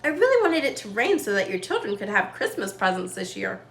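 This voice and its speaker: A woman's voice: medium pitch, big pitch swings, fairly quick, gravelly, fairly bright tone, roomy sound, pitched below the performer's own voice. A woman reading the part of an eager, earnest little girl in a storybook, stretching her words. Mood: sad, apologetic.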